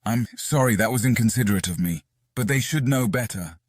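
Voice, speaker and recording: A man's voice: medium pitch, a little fast, no echo, studio quality, lightly acted. A man playing a protective, stubborn brother in a fantasy audiobook, firm and guarded. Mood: apologetic, defensive. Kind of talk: audiobook drama acting.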